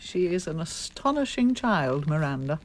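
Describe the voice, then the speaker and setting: A woman's voice: sits low, big pitch swings, normal speed, slight background noise. An actress playing a sociable, wry lady in a radio drama, chatty and droll. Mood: wry, confiding. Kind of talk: broadcast radio drama acting.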